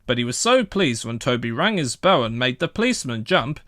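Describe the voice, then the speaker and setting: A man's voice: medium pitch, very animated, a little fast, fairly bright tone, no echo, clean studio sound. A man narrating a children's story from a script as a storybook reader.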